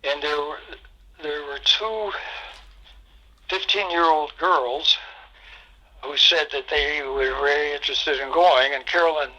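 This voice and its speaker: A pastor in his eighties recalls the past in a halting, reflective way, pausing often on fillers. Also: a little high, bright, forceful tone, highs cut off. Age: 80s. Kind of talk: oral history storytelling.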